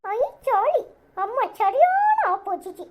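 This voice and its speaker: A woman's voice: very high-pitched, sing-song, slow, slight background noise, pitched above the performer's own voice, heavily acted. A woman voicing a cheeky, scheming little boy who makes gleeful, mocking asides.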